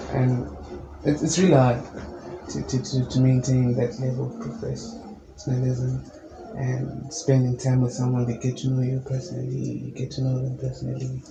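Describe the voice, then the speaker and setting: Low-voiced young man, flat and gravelly. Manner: calm, measured, explains at length. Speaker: musician guest. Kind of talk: casual interview answers. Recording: echoey room with background noise.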